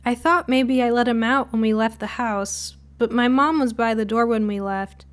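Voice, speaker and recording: A woman's voice: fairly high pitch, fairly even intonation, normal speed. A woman reading a scripted first-person spooky short story as its narrator.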